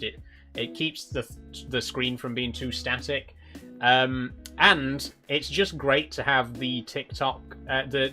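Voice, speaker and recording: A man's voice: medium pitch, sharp tone. A British man chatting casually and politely to viewers as a livestreamer. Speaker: live streamer. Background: background guitar music.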